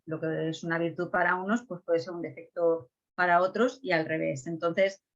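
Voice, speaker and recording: A woman's voice: fairly low pitch, flat delivery, normal speed, highs cut off. A woman speaking as a guest in a casual panel discussion, hesitant, with many fillers and tag questions.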